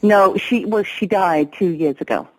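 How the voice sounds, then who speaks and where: A middle-aged woman speaking as an interview guest, reflective and hesitant, often pausing to find her words. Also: mid-range voice, low-quality recording. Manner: soft, hesitant, reflective, with pauses and fillers.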